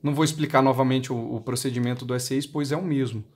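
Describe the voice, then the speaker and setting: A man's voice: medium pitch, steady tone, fast talker. A man with a Brazilian accent demonstrating a product step by step, explaining by asking and answering his own questions. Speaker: product demonstrator for motorcycle intercom seller.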